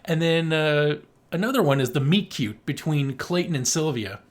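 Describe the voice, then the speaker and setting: A man with a slightly high-pitched voice co-hosts a casual film podcast. He talks in loose, rambling runs, with a joking tone and some swearing. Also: lively intonation, normal speed. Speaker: podcast co-host.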